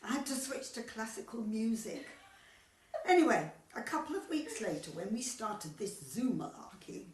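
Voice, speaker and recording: A woman's voice: a little high, sing-song, moderate pace, far from the mic, a little hiss or hum. A woman playing a sweet, scatty elderly widow in an amateur radio comedy, with a fussy, rambling manner. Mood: flustered, embarrassed. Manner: fussy, flustered, innocently rambling. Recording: echoey room, off the mic.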